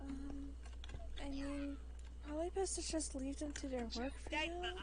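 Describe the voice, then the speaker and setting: A woman's voice: sits high, steady tone, moderate pace. A woman chatting casually and improvising role-play narration on a game stream. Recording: faint background noise.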